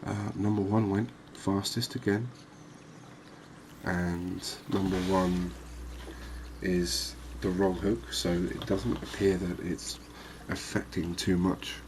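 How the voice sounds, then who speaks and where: A soft-spoken man giving unhurried, soothing ASMR commentary over a video game. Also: deep voice, level tone, noisy background. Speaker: gaming video host.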